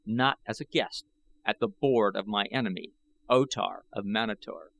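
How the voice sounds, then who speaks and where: A man's voice: lowish voice, moderate pace, creaky, a bit bright, a little hiss or hum, low-quality recording. A man voicing a proud, defiant young Martian princess with a cold, formal manner in an audiobook. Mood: cold defiance.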